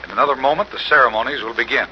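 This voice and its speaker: An American man playing an earnest, excitable on-the-scene reporter in a 1940s radio drama, announcing formally in a projected voice. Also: mid-range voice, racing, lightly acted. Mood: upbeat, formal. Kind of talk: 1940s radio drama, live news commentary. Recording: muffled old radio, faint noise.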